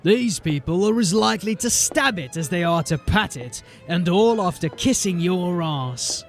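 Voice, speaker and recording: A wary fantasy watch officer, pitched fairly high for a male voice. He speaks bluntly and with dry, guarded sarcasm, with faint music underneath. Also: expressive, unhurried. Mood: sardonic. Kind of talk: fantasy audio drama acting.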